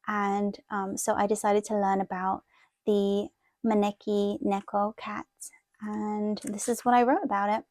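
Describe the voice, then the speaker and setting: A woman's voice: medium pitch, level tone, unhurried, a little mellow, no echo, clean studio sound. A woman chatting casually and ramblingly as she talks viewers through something in an informal show-and-tell. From half Thai, half Italian heritage. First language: Thai. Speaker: planner and journaling vlogger.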